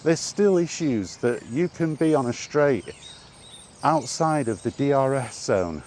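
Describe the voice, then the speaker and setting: A man's voice: medium pitch, lively intonation, a little slow, darkish tone. A British man talking off the cuff in an exasperated, sweary rant, like a gaming vlogger chatting while out walking. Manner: exasperated, sweary, repetitive rhetorical questions. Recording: outdoor recording with clear background noise.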